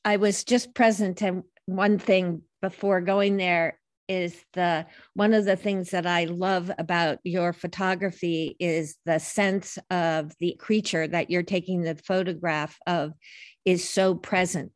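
A lower-voiced older woman talks as a podcast guest, wandering gently from story to story. She often restarts and corrects her sentences as she goes. The recording is dry and close, with no room sound. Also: fairly even intonation, a little slow, dry recording. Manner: reflective, meandering, self-correcting. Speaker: podcast guest, life coach. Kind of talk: casual conversational storytelling.

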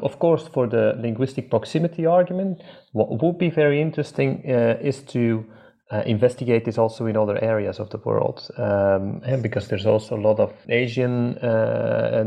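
A man's voice: medium pitch, gravelly, mellow. A man from Belgium with a Belgian Dutch accent, a researcher answering interview questions hesitantly with many filler sounds. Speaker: researcher guest. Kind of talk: academic interview.

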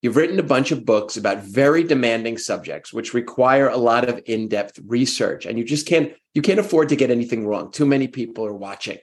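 A man hosting a podcast and posing long, measured interview questions. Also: medium pitch, expressive.

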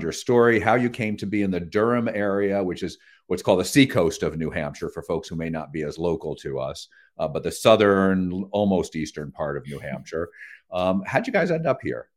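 A male radio host with a deep, low-pitched voice leads a friendly, conversational interview. He speaks with easy fillers and a relaxed interviewer's flow. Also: a little fast.

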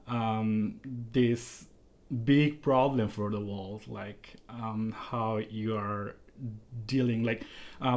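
A young man from Bangladesh, a PhD student, answering interview questions in a halting way with many pauses and restarts. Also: lowish voice, a little mellow. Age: young adult.

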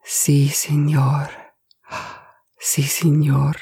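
A woman's voice: fairly low pitch, animated, measured pace, dark tone. A woman voicing an anxious, loyal male servant who pleads in broken English sprinkled with Spanish words. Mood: meek.

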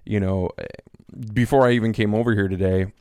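A pastor in his thirties talking casually as a podcast co-host, with a loud voice that carries, rambling with many fillers and restarts. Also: lowish voice, fairly even intonation, brisk, clear, resonant tone, no echo, studio quality. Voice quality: loud, carrying voice. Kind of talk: casual conversation. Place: small home studio.

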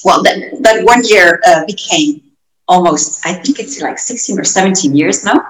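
A woman from the Netherlands, a guest telling her life story in an animated, self-correcting way. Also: lowish voice, normal speed. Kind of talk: casual interview storytelling. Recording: roomy echo, faint music.

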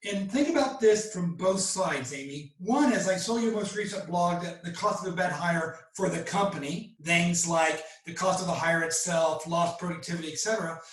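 High-voiced man, echoey and distant. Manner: agreeable, asks long questions. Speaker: podcast host. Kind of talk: podcast interview. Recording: roomy, distant mic.

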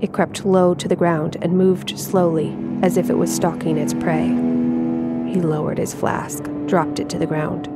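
A woman writer reading her own horror story aloud in a measured, suspenseful way. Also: lowish voice, flat delivery. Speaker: writer and narrator. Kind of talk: scripted horror story narration. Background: music.

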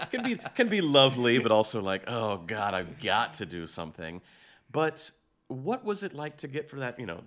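A man hosting a podcast in a conversational interviewing style, haltingly repeating phrases as he goes. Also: on the low side, fairly bright tone. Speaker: podcast host.

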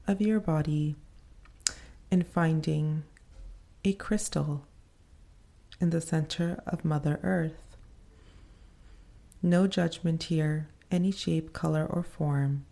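A woman leading a guided meditation in a soothing, unhurried manner, pausing between short phrases. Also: sits low, gravelly, mellow.

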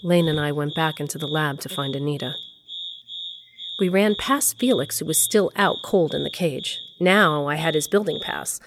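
A woman's voice: low voice, moderate pace. A woman playing a lab worker who tells her story as matter-of-fact first-person narration in an audio drama. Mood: matter-of-fact, grave. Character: female company lab worker recounting a grim discovery. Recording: loud ambient noise behind.

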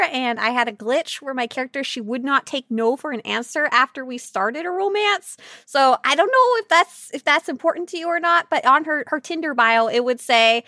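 A woman with a high, bright voice co-hosts a silly gaming podcast. She talks casually and playfully, with an excitable, giggly manner. The sound is close and dry, with no room to it. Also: no echo. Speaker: podcast host. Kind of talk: casual comedic podcast chat about a video game.

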